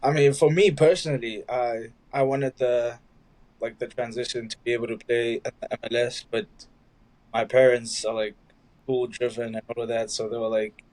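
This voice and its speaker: Relaxed young South African man. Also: medium pitch, normal speed, low-quality recording. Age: young adult. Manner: relaxed, loose, many "like" fillers. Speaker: college soccer player, guest. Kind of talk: casual interview chat.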